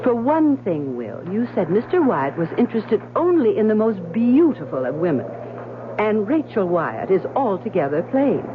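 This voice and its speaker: An actress playing a shrewd, sharp-eyed gentlewoman wife in a radio drama, speaking in a knowing, firm, persuasive way. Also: medium pitch, big pitch swings, normal speed, close to an everyday voice. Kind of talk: 1970s radio drama acting. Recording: muffled, hissy old radio broadcast.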